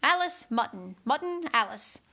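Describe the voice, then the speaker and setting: A woman's voice: high voice, very animated, moderate pace, heavily acted. Woman putting on a bossy, imperious queen. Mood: commanding. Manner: sharp, commanding, loud orders.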